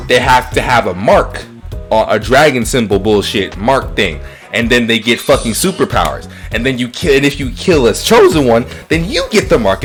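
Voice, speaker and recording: Animated, foul-mouthed man on a talk show. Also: medium pitch. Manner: rambling, repeats phrases, swears heavily. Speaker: podcast co-host. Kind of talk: casual, profane chat about movies.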